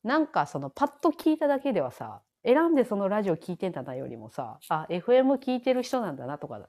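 A woman's voice: mid-range voice, big pitch swings, quick pace, mellow. A woman in her thirties with a Kansai accent, chatting casually as a podcast co-host. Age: 30s. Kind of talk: casual chat.

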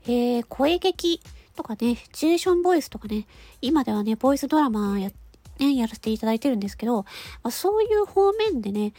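Casting: female amateur voice actor, very high cutesy nasal tone, polite informal channel chat in short halting bits. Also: very animated, no echo. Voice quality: nasal, stuffy-nosed; cute, cartoon-like voice. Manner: polite, giggly, halting phrases ending in a soft filler. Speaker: amateur voice actor hosting a personal audio channel. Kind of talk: casual chat to listeners.

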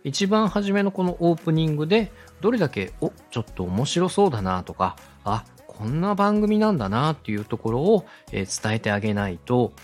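A man's voice: fairly high pitch, very animated, a little fast, hardly any pauses, soft background music. A man hosting an instructional podcast, explaining things politely and step by step to a co-host. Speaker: host, radio director teaching podcast production.

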